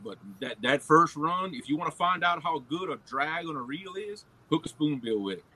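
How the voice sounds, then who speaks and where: A man's voice: on the high side, expressive, normal speed, sharp tone, husky, low-quality recording. A man from Louisiana with a Cajun accent, chatting casually about fishing and often repeating his words as he starts a sentence. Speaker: guest angler on a fishing livestream. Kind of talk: casual chat.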